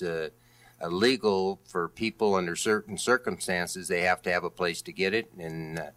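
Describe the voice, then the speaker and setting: Man, low-pitched voice, halting and hesitant, political candidate, debate speech. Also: a bit bright. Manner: halting, hesitant delivery with many long pauses. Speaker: candidate for district attorney in a debate.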